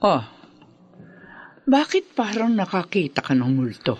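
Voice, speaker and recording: A woman's voice: on the low side, sing-song, a little slow, a little mellow, breathy edge, dry recording, full character voice. An actress playing a wronged, protective, vengeful mother in a Filipino radio drama, confrontational and dramatic. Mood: scornful.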